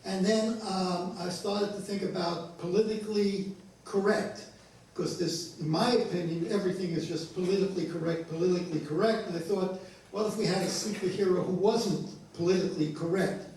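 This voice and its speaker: A male playwright informally explaining his work at a talkback, halting and repeating phrases. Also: high voice, steady tone, a little mellow. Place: indoor stage at an arts venue. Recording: echoey room, distant mic, faint noise.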